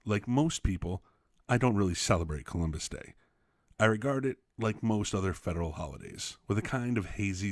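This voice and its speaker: A man reading an essay aloud as a teacher, expressively, with emphatic, deliberate phrasing. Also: lowish voice, no room sound, clean studio sound. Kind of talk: expressive read-aloud narration for students.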